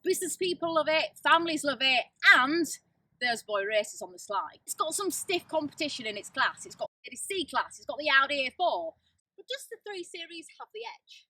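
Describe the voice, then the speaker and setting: A woman's voice: high voice, sing-song, fairly quick, bright, forceful tone, distant mic, low-quality recording. A young woman with a Yorkshire accent giving a chatty, opinionated car review from inside the car while driving. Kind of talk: casual on-camera car review.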